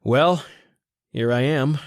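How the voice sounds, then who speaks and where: A man acting a frightened, desperate man in an old-time radio mystery. His delivery is overwrought and melodramatic, at an average male pitch, with music running behind him. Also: takes it slowly, close to an everyday voice. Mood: grim, desperate. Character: Willie, frightened, wrought-up man with a gun.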